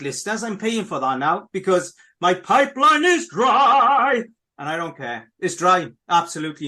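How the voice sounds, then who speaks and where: Man, high voice, chatty radio host, talks straight to listeners, repeats himself. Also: bright, forceful tone. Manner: chatty, repetitive, keeps addressing listeners. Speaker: podcast host. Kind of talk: casual radio chat.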